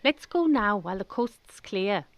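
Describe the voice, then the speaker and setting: A woman's voice: mid-range voice, big pitch swings, unhurried, no room sound. A woman playing a brash, breezy teenage schoolgirl with a Welsh accent and slangy talk in a radio drama. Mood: breezy, eager. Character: bold, brash, cheerful big teenage schoolgirl best friend.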